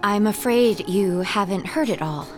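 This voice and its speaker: A woman's voice: mid-range voice, a little slow, close to an everyday voice. An actress playing an anxious, self-doubting young woman artist in an audio drama, with a hesitant, earnest delivery. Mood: uneasy. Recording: music under the dialogue.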